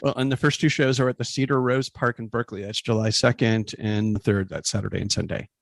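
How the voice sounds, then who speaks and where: Low-voiced man hosting an interview. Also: fairly even intonation, fast, gravelly, dry recording, clean studio sound. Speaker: interview host. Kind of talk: conversational podcast interviewing.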